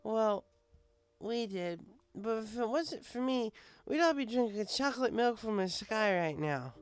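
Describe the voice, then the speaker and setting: A man's voice: sits high, lively intonation, measured pace, smooth, voice pushed up. A man voicing a drunk, giggly young female pony in a storybook, boastful and affectionate, with slurred, rambling speech. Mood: drunken boasting. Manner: drawling, rambling drunken talk. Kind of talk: audiobook character voice.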